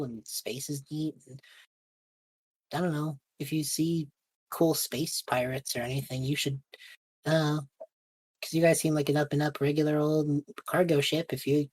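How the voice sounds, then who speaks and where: A woman's voice: low voice, darkish tone, breathy edge, no echo. A woman improvising casual tabletop roleplay in a rambling, hesitant, goofy manner. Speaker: tabletop player.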